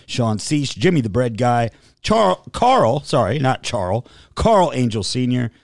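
A man's voice: mid-range voice, very animated, measured pace, vocal fry, no echo, studio quality. A man hosting a casual livestream podcast, rambling a little and reading out a long list of supporter names. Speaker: podcast host.

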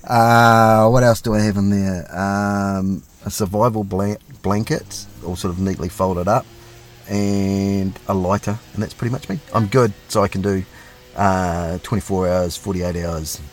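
A man's voice: deep voice, fairly even intonation, normal speed, soft background music. A middle-aged man, a police officer chatting casually and self-deprecatingly as an interview guest.

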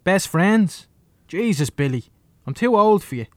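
A man's voice: high voice, lively intonation, unhurried, no echo. An actor playing a weary, wary adult man with an Irish accent, blunt and exasperated, in naturalistic audio drama. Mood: stern, exasperated.

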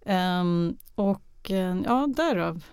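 A woman's voice: lowish voice, lively intonation, a little mellow, clean tone, dry recording. A woman answering interview questions hesitantly, searching for words. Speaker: guest, radio drama artistic director.

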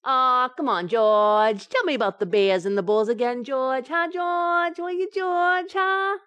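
A woman's voice: sits high, unhurried, a bit bright, clear, resonant tone, no room sound. A woman playing a scatterbrained, coaxing young wife in an old-time radio sitcom, with a breezy, innocent comic delivery. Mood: wheedling.

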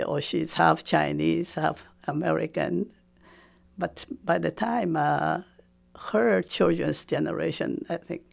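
Slow, gravelly older woman. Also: low-pitched, darkish tone. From Taiwan. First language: Japanese. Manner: hesitant, many pauses and fillers. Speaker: oral history interviewee. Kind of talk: personal reminiscence.

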